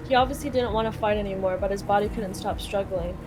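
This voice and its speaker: A woman's voice: mid-range voice, quick pace, music in the background. A young adult woman from Canada casually translating a game live, reading lines aloud and paraphrasing informally. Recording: clearly noisy home recording.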